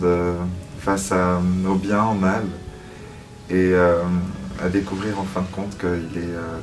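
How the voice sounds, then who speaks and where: Deep-voiced man, hesitant and even-toned. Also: far from the mic. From Switzerland (Lausanne), born in Paris. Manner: hesitant, searching for words. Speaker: musical theatre actor, interview guest. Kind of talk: reflective interview answers.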